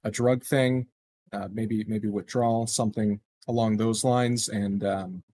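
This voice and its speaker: A man with a slightly low voice talks as a player in a tabletop roleplaying game. His delivery is casual and improvised, tentative, as if working things out aloud. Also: fairly even intonation, unhurried, mellow.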